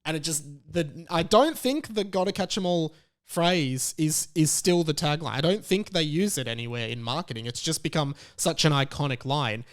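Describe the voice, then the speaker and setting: A man in his thirties hosting a podcast, giving casual solo commentary in a chatty, animated storytelling way. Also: fairly high pitch, moderate pace. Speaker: podcast host.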